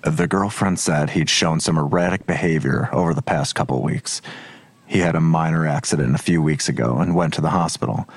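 A man's voice: rumbling low, fairly quick, breathy edge, no echo, full character voice. An actor playing a skeptical police detective in an audio drama, terse and probing in his questions. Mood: guarded, matter-of-fact. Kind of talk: naturalistic audio drama dialogue.

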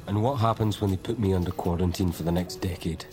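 A man's voice: low voice, quick pace, close to an everyday voice. A man playing a bewildered, uneasy spaceship survivor in an audio drama, questioning and incredulous. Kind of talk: audio fiction acting. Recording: loud music under the voice.

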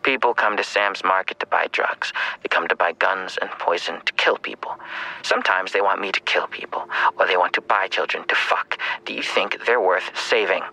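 An actor playing a menacing, taunting killer in an audio drama, with a raspy, creaky voice that slips into whispers. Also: male voice, low voice, moderate pace, bright, forceful tone, heavily acted, low-quality recording. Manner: taunting, insinuating, probing. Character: menacing, taunting male killer who runs a dark-web market.

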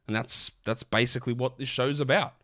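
A man, sick and croaky, hosting a casual basketball podcast with lots of rambling restarts. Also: medium pitch, fairly even intonation, brisk, pushed and bright. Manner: rambling, chatty, many fillers and restarts. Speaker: fantasy basketball podcast host. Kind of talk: casual solo podcast talk.

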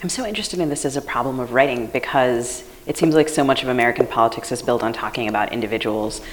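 A woman co-host asks reflective questions at a live podcast taping on a festival stage. Her voice is very low. A faint hiss of noise sits behind her. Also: quick pace.

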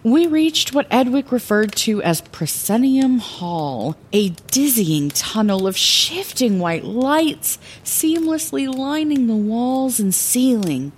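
A woman playing a wry lighthouse keeper who narrates her own fantasy adventure in an expressive, deliberate way. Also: a little high, slight background noise. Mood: wry storytelling. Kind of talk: scripted first-person fantasy storytelling.

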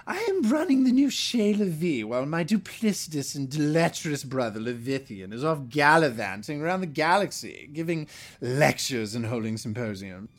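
A man's voice: fairly high pitch, sing-song, normal speed. A man playing a vain, flirtatious deposed alien prince in an audio drama, with a pompous, theatrical way of talking. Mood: haughty, airy. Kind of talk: audio fiction acting.

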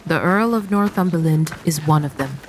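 A woman's voice: deep voice, moderate pace, a little hiss or hum. A woman giving scripted scene-setting narration for an audio drama.

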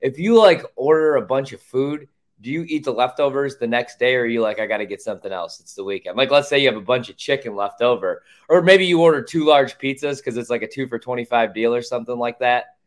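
A man with an ordinary mid-pitched voice chats casually about sports as a podcast co-host. He speaks bluntly, pushes his opinions and drops swear words freely into everyday conversation. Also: brisk.

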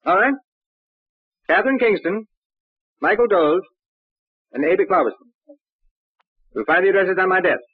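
An actor playing a shrewd, brusque homicide inspector in an old radio crime drama, clipped and commanding. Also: male voice, high-pitched, lively intonation, moderate pace, long pauses, husky, no echo. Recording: muffled, band-limited old radio recording.

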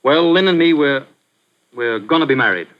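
An actor playing a young boxer, earnest and blunt, who turns hard and angry, in a 1940s radio drama. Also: male voice, medium pitch, expressive, moderate pace, lightly acted. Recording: muffled old radio recording.

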